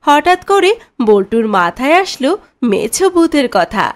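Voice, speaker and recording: High, lively woman narrator. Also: moderate pace. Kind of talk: scripted cartoon story narration.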